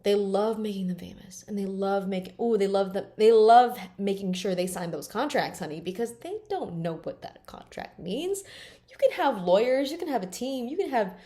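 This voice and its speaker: Woman, late twenties, vlogger, blunt, emphatic, curses a lot, mellow voice. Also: mid-range voice, expressive, normal speed. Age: 20s. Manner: blunt and emphatic, swears freely. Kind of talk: candid personal vlog.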